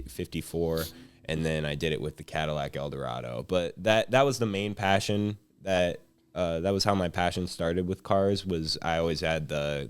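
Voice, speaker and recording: A man's voice: low voice, clear, resonant tone. A young man in his late teens chatting casually as an enthusiastic guest. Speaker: guest, high school senior. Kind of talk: casual chat.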